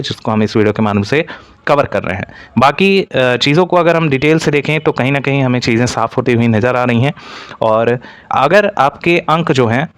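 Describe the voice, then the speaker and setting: A man's voice: mid-range voice, clean tone, dry recording. A man giving an informal explainer as a presenter, addressing students directly with repeated filler phrases. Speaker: exam-news presenter for job aspirants. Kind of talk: informal explainer narration to camera-style audience.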